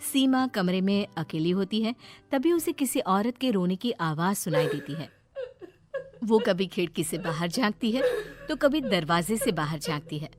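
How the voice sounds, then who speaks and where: A woman reading scripted narration for a cartoon story as the storyteller. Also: mid-range voice.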